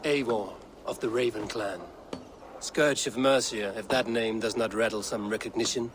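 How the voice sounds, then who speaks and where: An actor playing a bold, proud Viking warrior in a video game, speaking in a blunt, boastful, measured way. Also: male voice, lowish voice, fairly even intonation, slight background noise, lightly acted. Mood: grave, blunt. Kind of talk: dramatic video game acting.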